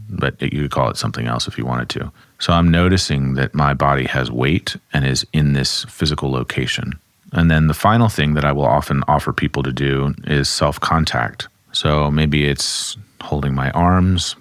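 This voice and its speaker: A man, a somatic therapist, calmly explaining and demonstrating grounding exercises at his desk. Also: a bass voice, no echo, studio quality. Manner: calm, instructive, speaks while demonstrating.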